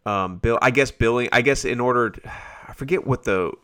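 An American man chatting casually as a podcast guest, often halting as he searches for words. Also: medium pitch, pushed and bright, clean tone, dry recording, studio quality. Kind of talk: casual chat.

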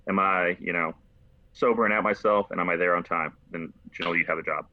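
An American man chatting casually and telling rambling, animated stories as a podcast host. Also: low-pitched, fast, no room sound. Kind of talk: casual conversational storytelling. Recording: slightly dull lo-fi, dry close sound.

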